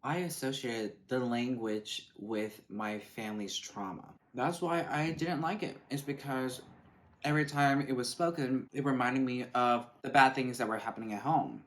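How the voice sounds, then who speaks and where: A man's voice: mid-range voice, steady tone, moderate pace. A young man from Vietnam, talking to camera in a reflective, earnest, conversational way while he eats. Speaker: vlogger. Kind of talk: casual mukbang talk to camera.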